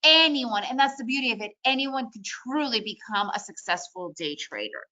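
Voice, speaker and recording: A woman's voice: on the high side, animated, normal speed, pushed and bright, dull audio. A woman, a native Russian speaker who grew up in the United States, leading a trading webinar in a chatty, upbeat way with lots of "you know" and "right?". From Soviet Union, raised in the United States. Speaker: day trading educator hosting a webinar. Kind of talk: casual webinar talk.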